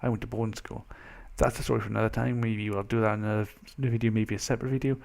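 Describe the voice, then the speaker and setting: A young man with a mild Welsh accent, chatting casually as a vlogger in a rambling, animated, self-interrupting way. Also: fairly low pitch, racing, gravelly. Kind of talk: casual chat to camera.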